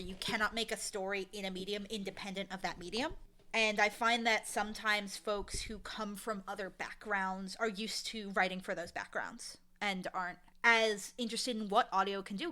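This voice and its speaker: Bright-voiced woman in an interview. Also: medium pitch. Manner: animated, illustrates points with acted-out quotes. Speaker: audio drama showrunner, interview guest. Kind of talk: casual, opinionated interview talk.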